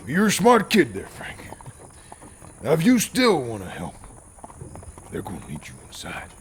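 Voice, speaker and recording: An actor playing a stern but fatherly, world-weary sheriff in a western audio drama, with an American Southern drawl and a deliberate, folksy manner. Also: huge pitch swings, slight background noise. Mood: exasperated. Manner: deliberate, folksy, swinging from hushed to booming.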